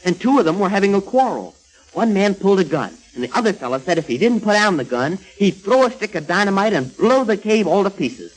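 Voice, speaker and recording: Earnest boy hero for a vintage radio serial, eager, high, animated. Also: brisk, rough recording. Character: Sonny, earnest excitable adventurous boy. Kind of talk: 1940s children's radio serial acting.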